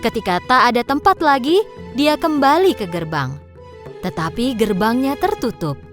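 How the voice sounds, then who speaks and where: Female narrator for children's stories, high and lively, faint music underneath. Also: normal speed. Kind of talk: scripted children's storybook narration. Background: background music.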